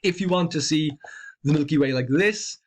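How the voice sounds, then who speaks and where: A man with an Irish accent calmly explaining the night sky in a conversational, educational voice-over. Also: a little high, moderate pace. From Ireland. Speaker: astronomy presenter.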